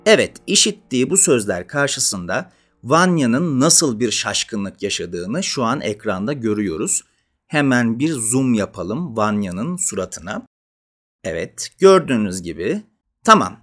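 A man's voice: medium pitch, fairly bright tone. A man in his thirties telling a mock fairy tale as a show host, theatrically and wryly, with asides to his viewers. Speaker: video essay and podcast host. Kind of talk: animated storytelling with asides to viewers. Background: music.

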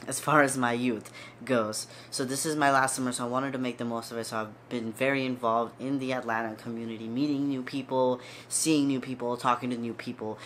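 A man's voice: mid-range voice, little pitch movement, a little fast, a bit bright, slight background noise. A young man in his twenties, a medical student, talking casually and earnestly to camera from a small room at home, sounding stuffy and repeating words for emphasis. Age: 20s. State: stuffy nose. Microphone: seated facing camera at close range.

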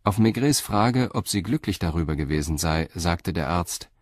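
A man narrating a radio crime play in a calm, measured storytelling manner. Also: low-pitched, dry recording, studio quality. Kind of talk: scripted audio drama narration.

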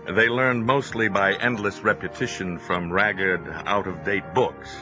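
An actor playing an earnest, determined young farm lad who longs to be a scholar, in an audio drama. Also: male voice, low voice, steady tone, unhurried, highs cut off, over music. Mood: measured narration. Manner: earnest, emotive. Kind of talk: audio drama acting and first-person narration.

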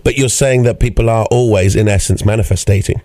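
A man's voice: fairly low pitch, fairly even intonation, fairly quick, warm tone. A man hosting a casual radio interview, chatty and given to repeating himself. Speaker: radio show host.

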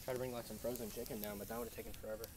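A man's voice: lowish voice, level tone, a little mellow. A young man chatting casually by a campfire at night outdoors, filming with friends and saying "like" a lot. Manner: quick, chatty, says "like" a lot. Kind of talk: casual chat. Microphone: handheld camera. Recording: handheld camera with clear outdoor noise.

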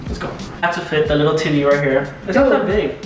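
A man's voice: fairly high pitch, fast, over music. A young man vlogger touring his empty new house, laid-back and joking. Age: young adult. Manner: laid-back, joking, says "dude" a lot. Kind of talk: casual house-tour chat. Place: large empty house with bare walls. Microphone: camera mic from a few feet away. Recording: echoey empty rooms, mic at a distance.

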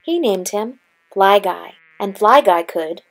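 Slow-reading woman narrating a children's story. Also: mid-range voice, expressive. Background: faint noise, sound effects.

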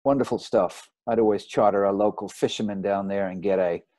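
A man, a sailing photographer, giving a relaxed, rambling slideshow talk over a video call. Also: lowish voice, fairly quick, no echo, studio quality. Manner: rambling, relaxed, with fillers and restarts. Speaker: marine photographer giving a lecture. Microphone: video call mic.

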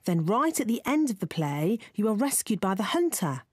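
A woman playing a patient, encouraging mummy rabbit in a children's cartoon, with a gentle motherly manner. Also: medium pitch, big pitch swings, normal speed, slight background noise, natural voice.

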